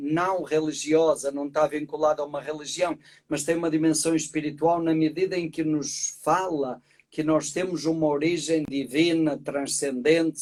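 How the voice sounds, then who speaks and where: A middle-aged man from Portugal, a priest, explaining calmly and reflectively as a guest on a live video call. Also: a little high. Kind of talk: live-stream conversation.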